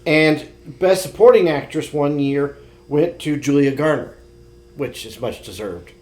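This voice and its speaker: Slightly high-voiced man, casual chat. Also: a little slow, a little mellow. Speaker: podcast co-host.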